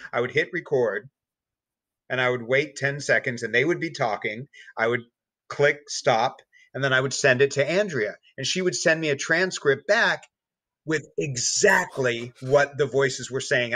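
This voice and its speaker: Bright-voiced man explaining things casually. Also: mid-range voice, normal speed, dry recording. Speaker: podcast guest.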